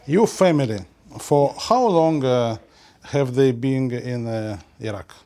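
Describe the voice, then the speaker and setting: A male interviewer asking short questions with hesitant pauses and fillers. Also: mid-range voice, expressive, warm tone.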